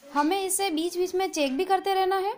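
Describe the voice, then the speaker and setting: High-voiced woman calmly explaining a recipe. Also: moderate pace, faint music behind. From India. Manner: calm, patient, instructive. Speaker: cooking channel host. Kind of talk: step-by-step recipe voice-over.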